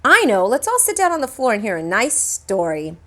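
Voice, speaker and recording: A woman's voice: on the high side, huge pitch swings, a little fast, fairly bright tone. A woman voicing a harried, coaxing mother of small children in a storybook. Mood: firm, coaxing.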